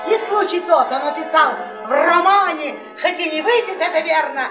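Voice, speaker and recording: An actress playing a brazen, spiteful middle-aged wife in a radio play, with drawling, theatrical mockery. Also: female voice, extremely high pitch, moderate pace. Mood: gleeful mockery. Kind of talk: stage-style radio play acting. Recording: echoey old radio play, music behind.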